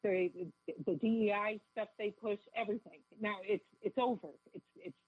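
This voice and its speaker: A woman with a cold and a raspy voice, calling in as a radio guest and speaking fervently and quickly. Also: medium pitch, fairly even intonation, no echo. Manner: fervent, rapid, repeats herself. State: has a cold. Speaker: caller guest. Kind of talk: phone-in talk radio interview. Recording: tinny phone line.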